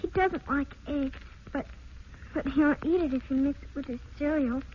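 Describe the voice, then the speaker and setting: A woman acting a shy little girl in a vintage radio play, high-pitched and earnest. She speaks haltingly, stammering over her words, on a muffled, faintly noisy old recording. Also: close to an everyday voice. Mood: earnest, tender.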